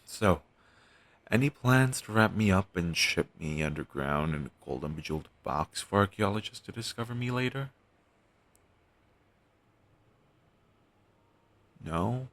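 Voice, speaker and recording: A man playing a morose, self-deprecating zombie best friend in an audio roleplay, speaking haltingly and deadpan in a gravelly, husky voice. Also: deep voice. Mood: dryly wry. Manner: deadpan, halting, listless delivery with long pauses. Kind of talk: audio roleplay, intimate scripted monologue addressed to listener.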